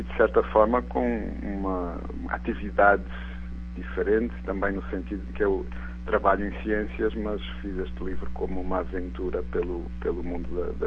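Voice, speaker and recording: A middle-aged man from Portugal with a European Portuguese accent softened by Brazilian sounds, speaking thoughtfully as a guest in a live radio interview. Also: low voice, slight background noise. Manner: thoughtful, searching for words with restarts. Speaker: guest author and biology professor. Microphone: telephone. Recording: tinny phone line.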